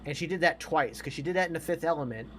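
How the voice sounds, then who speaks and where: A man's voice: fairly high pitch, fast, fairly bright tone, a little hiss or hum. A man in his forties chatting casually as a podcast co-host, opinionated and emphatic, repeating himself to make a point. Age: 40s. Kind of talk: casual chat about movies.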